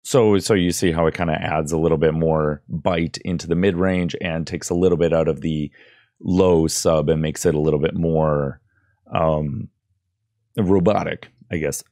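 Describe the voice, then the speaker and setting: A man with a deep voice talks through an audio tutorial. He is informal and a bit hesitant, recorded close and dry at his desk. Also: steady tone, moderate pace, dark tone, dry recording. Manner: informal, hesitant, loosely explaining. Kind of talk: casual screen-recorded tutorial. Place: indoors at a computer desk. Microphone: close boom-arm microphone with headphones.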